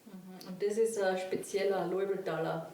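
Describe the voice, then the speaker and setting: A woman's voice: medium pitch, fairly even intonation, normal speed, recorded from a distance, a crowd in the background. A woman who interviews the speaker, asking short, prompting questions. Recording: roomy, off-mic, faint ambience.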